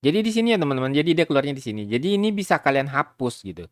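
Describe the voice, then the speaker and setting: A man hosting a casual software tutorial, chatting informally and rambling a bit as he addresses viewers. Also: fairly high pitch, very animated, racing, no echo, studio quality. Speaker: video tutorial host.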